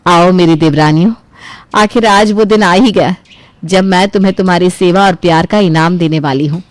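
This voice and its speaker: A woman's voice: lowish voice, normal speed, dry recording, natural voice. A woman voicing a good-hearted, trusting mother and elder sister-in-law in an affectionate, effusive family cartoon story. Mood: generous, pleased.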